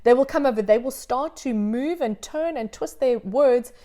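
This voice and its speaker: A woman's voice: high-pitched, normal speed, warm tone. Upbeat, encouraging woman host. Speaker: host, yoga teacher. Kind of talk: conversational interview.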